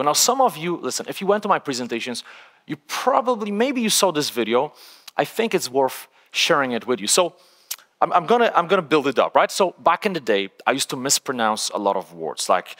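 A man from Poland speaks with a Polish accent, giving a conversational, high-energy workshop talk to a seated audience. His voice is bright and his pitch swings widely. It rings out with the long reverb of a large conference hall. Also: fairly quick. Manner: energetic, conversational, frequent "right?" tags.

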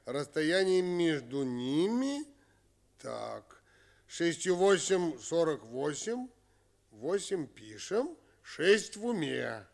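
A ship's captain with a creaky, slightly high voice. He thinks aloud slowly and deliberately in a radio play. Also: very animated, heavily acted. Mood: pondering. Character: pondering ship's captain, adult man. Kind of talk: Soviet radio play acting.

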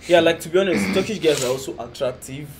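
A young man co-hosting a casual vlog chat, often pausing to search for words, seated on a couch indoors. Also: a little high, noisy background. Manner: hesitant, keeps saying "what's it called". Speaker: vlog co-host. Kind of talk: casual chat comparing countries.

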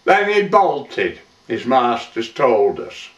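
A roomy, distant recording of a man acting as a weathered old lifeboatman. He tells his tale in folksy verse at a slow, measured pace. His manner is plain-spoken and sorrowful, like a stage monologue. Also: medium pitch, very animated, a bit bright. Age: older. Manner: measured, folksy storytelling with rustic dialect. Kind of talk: dramatic verse monologue recitation. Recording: roomy, dull, distant microphone.

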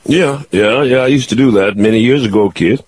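A man in his sixties hosting a call-in radio show, talking in a rambling, halting way with many fillers. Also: medium pitch, darkish tone, breathy edge, no room sound. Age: 60s. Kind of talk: casual call-in chat.

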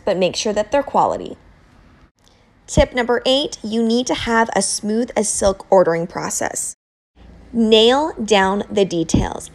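A woman narrating scripted instructional tips as a home baker, explaining informally with clear emphasis on key points. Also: medium pitch.

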